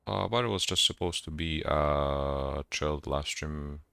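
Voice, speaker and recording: A man's voice: very deep voice, unhurried, vocal fry, sharp tone. A young man from Poland with a Polish accent, a university student whose first language is Polish. He chats casually with viewers on a gaming livestream, greeting them and thinking aloud as he searches for words. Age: young adult. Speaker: gaming streamer. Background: game music.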